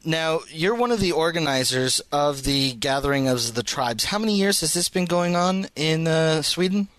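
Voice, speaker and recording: A man's voice: fairly high pitch, animated, moderate pace, a bit bright, no echo. A man speaking as a podcast host, interviewing guests in a conversational way.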